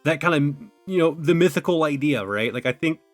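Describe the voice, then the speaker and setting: A man's voice: fairly high pitch, animated, fairly quick, soft background music. Rambling young man with a fading voice. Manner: rambling, full of "like" and "you know". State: voice going out, thin and washed out. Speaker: card-opening YouTuber. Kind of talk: casual chatty commentary.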